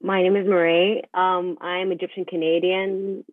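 A woman's voice: on the low side, level tone, moderate pace, no room sound. A young woman from the Middle East, a student guest chatting casually and enthusiastically on a podcast. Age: young adult. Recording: thin, phone-like line.

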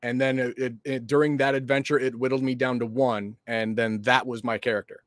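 A man's voice: medium pitch, level tone, fairly quick, no room sound. A man chatting casually on a live stream, teasing his co-host in a dry way.

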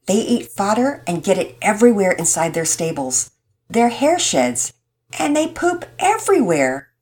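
A woman voicing a patient, gentle mother figure who speaks calmly and explains things like a teacher. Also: mid-range voice, measured pace. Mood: teaching. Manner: calm, warm, teaching tone.

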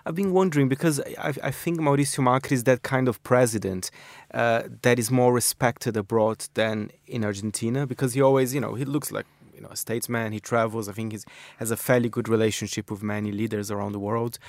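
A man's voice: medium pitch, fairly even intonation, brisk, dry recording. A man commenting as a guest in a radio studio discussion, speculative and restarting his phrases.

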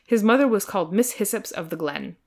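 A smooth nobleman of a comic storybook, as a woman plays him. He is courtly and deferential, always ingratiating, and quick with an answer. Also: mid-range voice, expressive. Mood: reverent, assuring. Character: smooth, deferential, quick-witted English earl.

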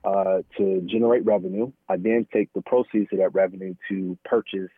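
An American man speaking candidly and informally as an interview guest, halting often and peppering his talk with "man" and "you know". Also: deep voice, fairly even intonation, slightly rough, no room sound. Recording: thin phone-like line, dry.

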